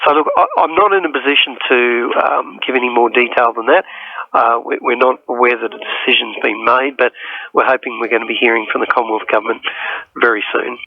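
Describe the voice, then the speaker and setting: A man's voice: medium pitch, steady tone, brisk, no room sound. An Australian man answering an interview question as a politician, in a noncommittal way. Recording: narrow phone-like audio.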